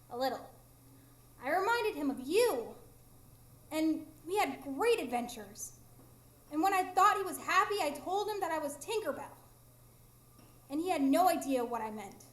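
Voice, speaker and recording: A proud fairy with a very high voice, stuck living as a human. She is plaintive and emphatic, wounded at heart, acting in a live radio play in an echoing room. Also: big pitch swings, a little slow, long pauses, fairly bright tone, exaggerated voice. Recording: roomy live stage performance.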